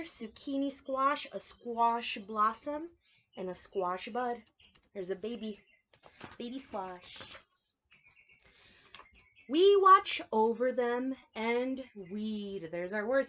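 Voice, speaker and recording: Woman preschool teacher doing a read aloud, kinda high voice, explains stuff simply for little kids, muffled lo-fi audio. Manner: instructive, simple phrasing, asks children questions. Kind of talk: picture-book read-aloud with explanations for young children.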